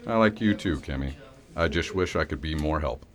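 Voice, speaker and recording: A man's voice: very deep voice, animated, normal speed. A man playing a kindly, self-deprecating sheriff in an audio drama, with a wry, sarcastic way of talking. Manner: wry, sarcastic, mumbled asides.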